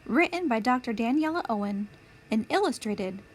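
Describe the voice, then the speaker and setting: A woman reading a picture book aloud as a storytime host, with deliberate, clear phrasing for young children. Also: fairly high pitch, lively intonation, slight background noise. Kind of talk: children's read-aloud narration.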